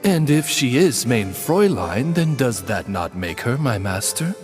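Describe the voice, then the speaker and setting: A man's voice: medium pitch, big pitch swings, unhurried, a little mellow. A man voicing a courteous, butler-like talking raven in an anime game, formal and polite. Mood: composed, courteous. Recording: long hall reverb, music behind.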